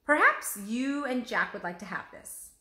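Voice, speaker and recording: A woman performs an eager male artist from a children's storybook, lecturing with lively swings in pitch. The tone is bright and full of exclamation. The room adds a noticeable echo around the voice. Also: measured pace, exaggerated voice. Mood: thoughtful. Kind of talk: children's storybook read-aloud. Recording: roomy, echoing room sound.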